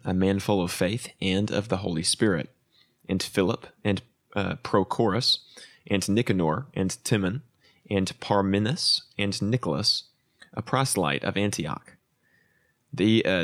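Over a video call, a man with a very low voice reads Bible passages at a slow, steady pace. Between readings he adds short, understated replies to his co-host. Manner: reads slowly and evenly, brief low responses. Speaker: podcast co-host reading scripture. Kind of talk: scripture reading and casual chat.

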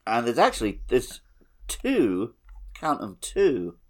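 A man's voice: mid-range voice, huge pitch swings, slow. A man co-hosting a video game podcast, talking in a casual conversational style. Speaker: podcast co-host.

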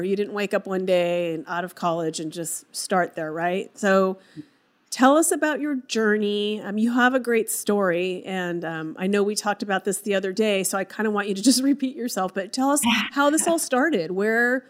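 Encouraging woman podcast host. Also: mid-range voice. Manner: encouraging, friendly prompting. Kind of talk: interviewing a guest.

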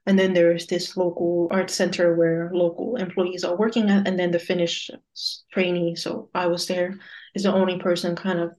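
A woman's voice: lowish voice, flat delivery, moderate pace, a little mellow, low-quality recording. A young woman, a podcast guest, talking through her experiences in a thoughtful, hedging way while she searches for words. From Luxembourg. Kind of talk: casual podcast interview.

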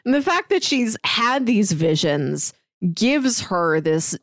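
A woman's voice: medium pitch, very animated, takes it slowly, dry recording, clean studio sound. A woman co-hosting a podcast, talking in a casual, conversational discussion style. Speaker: podcast co-host. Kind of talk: casual comic book discussion.

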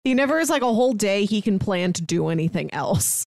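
A woman's voice: mid-range voice, lively intonation, a little fast, a little mellow, dry recording. Casual woman podcaster with a dry, close sound. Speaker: podcast co-host. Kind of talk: casual book discussion chat.

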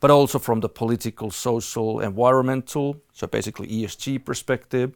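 A middle-aged man from Finland with a Finnish accent, speaking as a podcast guest, halting with many fillers. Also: mid-range voice, vocal fry, no echo, clean studio sound. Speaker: guest, fund manager. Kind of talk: podcast interview.

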